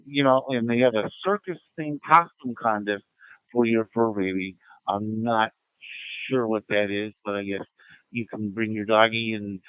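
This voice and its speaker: Male radio net operator, clear-toned and dryly recorded, reading notices and roll call informally with procedural stops and starts. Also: on the low side, no room sound, phone-quality sound.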